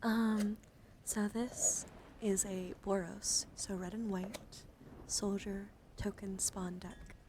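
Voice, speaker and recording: A woman hosting an ASMR livestream, chatting softly and often slipping into a whisper with careful, deliberate enunciation. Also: medium pitch, level tone. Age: adult, over 18. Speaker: streamer host. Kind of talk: soft ASMR livestream chat. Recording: noticeable background noise.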